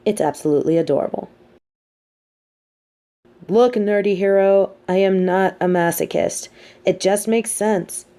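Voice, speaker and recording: A woman playing a sardonic, teasing supervillain with a hidden soft side, talking straight to the listener in an audio roleplay with theatrical, mocking emphasis. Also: medium pitch, a little slow, long pauses, lightly acted. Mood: teasing, amused. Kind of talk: scripted audio roleplay monologue spoken to the listener.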